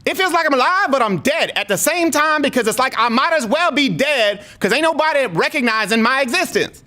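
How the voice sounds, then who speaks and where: A man's voice: extremely high pitch, lively intonation, a little fast, bright, forceful tone, full character voice. A man voicing an indignant, aggrieved single mom in a prank phone call, rambling and repeating with slangy emphasis. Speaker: prank caller.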